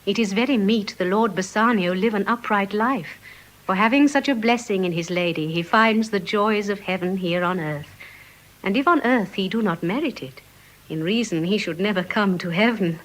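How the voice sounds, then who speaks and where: An actress playing a gentle, quick-witted young wife with poised, earnest diction in classical Shakespearean style. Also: female voice, medium pitch, lightly acted, rough recording. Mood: earnest admiration. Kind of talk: classical Shakespearean stage acting.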